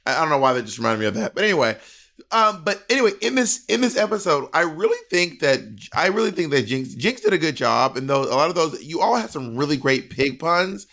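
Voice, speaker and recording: A man's voice: fairly high pitch, big pitch swings, fast talker, pushed and bright. A man in his thirties with an American accent, co-hosting a casual chat and telling stories in an emphatic, sweary way. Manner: emphatic, profane. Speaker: podcast co-host. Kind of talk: casual storytelling and review chat. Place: indoor room at home.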